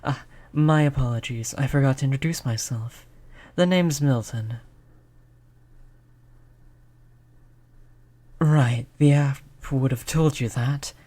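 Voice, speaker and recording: A performer as a youthful vampire, mid-pitched, courteous, chatty, reassuring, intimate roleplay addressed to the listener. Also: moderate pace, mellow, lightly acted. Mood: welcoming. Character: polite vampire turned young, stuck looking like a child. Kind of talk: audio roleplay spoken to the listener.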